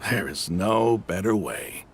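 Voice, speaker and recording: An actor playing a bold, proud desert mercenary leader in a video game, persuasive and emphatic in delivery. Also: male voice, low-pitched, lively intonation, slow pace, slight background noise. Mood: confident. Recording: music playing behind the voice.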